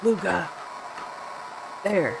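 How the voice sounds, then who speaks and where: A man's voice: high voice, drawn-out words, gravelly, noisy background, voice pushed up, exaggerated voice. A man voicing a frightened captive animal boy calling for help in a video game read-aloud. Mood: urgent.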